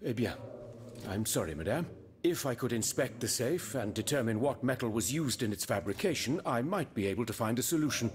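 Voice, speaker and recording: An actor playing a dry, indignant gentleman scientist in a video game, brusque yet courteous. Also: medium pitch, lively intonation, a little fast, slight background noise, close to an everyday voice. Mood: incredulous, dry. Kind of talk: video game cutscene acting.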